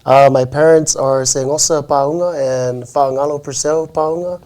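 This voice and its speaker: A man answering as a guest on a talk show, relaxed and friendly. His voice sits fairly high for a man. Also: moderate pace. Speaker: guest, dancer. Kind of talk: casual interview.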